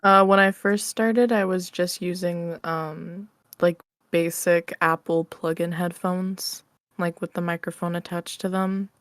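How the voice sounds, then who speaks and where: A woman's voice: lowish voice, fairly even intonation, measured pace, dry recording, clean studio sound. A woman chatting casually as an interview guest, candid and informal, with a giggly manner. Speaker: interview guest, audio roleplay creator. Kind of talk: casual chat.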